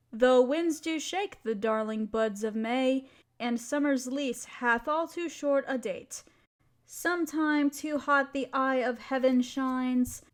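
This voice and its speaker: A woman playing a playful, affectionate wife who loves poetry, theatrical and teasing, in a romantic audio roleplay. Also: sits high. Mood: tender reciting.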